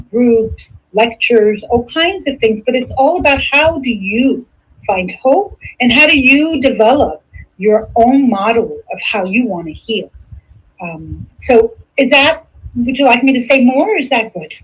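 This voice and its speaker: A woman's voice: fairly high pitch, breathy edge, low-quality recording. A middle-aged woman from the Dominican Republic, a psychotherapist, answering interview questions in an earnest, reflective way. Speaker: guest, psychotherapist.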